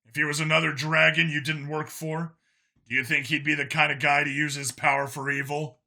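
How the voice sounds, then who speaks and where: A man voicing a firm, principled police team commander in measured, serious audiobook dialogue. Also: a little high, flat delivery, creaky, sharp tone, heavily acted. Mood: pensive, probing.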